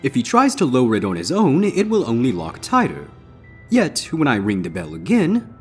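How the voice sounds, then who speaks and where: A man voicing a theatrical, ominous pony stage magician with deliberate showman's patter in a storybook reading. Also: mid-range voice, wildly swooping pitch, faint music behind, pitched above the performer's own voice. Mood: commanding, eerie. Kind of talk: character voice in audiobook-style fan fiction reading.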